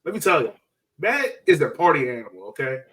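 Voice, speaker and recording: Hyped guy on a fan podcast, kinda high voice, slangy and sweary, bit far from mic. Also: fairly quick. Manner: slangy, excited, profane, repeats phrases for emphasis. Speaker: podcast host. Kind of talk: casual sports fan podcast chat.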